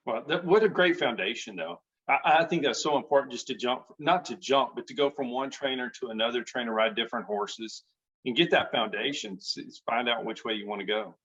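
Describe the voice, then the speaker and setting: A man's voice: mid-range voice, brisk, sharp tone, slightly rough. Enthusiastic male host, dull lo-fi sound. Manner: friendly, enthusiastic, with frequent restarts. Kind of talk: casual interview chat.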